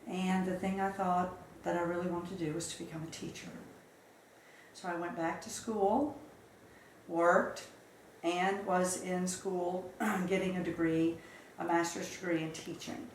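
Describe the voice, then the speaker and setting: A woman in her fifties from South Carolina, recounting her life story in a measured, reflective way during an interview. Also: fairly low pitch, fairly even intonation, roomy sound, slight background noise, poor audio. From Charleston, South Carolina. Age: 50s. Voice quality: measured, reflective, with occasional fillers. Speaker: interview guest.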